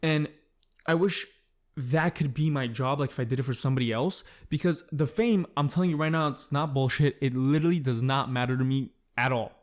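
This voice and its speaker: A young man at a medium pitch talks on his own in a loose, rambling podcast monologue. He is self-conscious and swears freely as his thoughts wander and double back. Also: a little fast. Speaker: podcast host. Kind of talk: casual solo podcast monologue.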